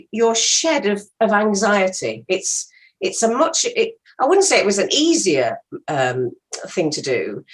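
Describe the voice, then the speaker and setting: Woman with very lively, swooping intonation. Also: medium pitch. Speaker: actress, interview guest. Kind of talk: casual anecdotal chat.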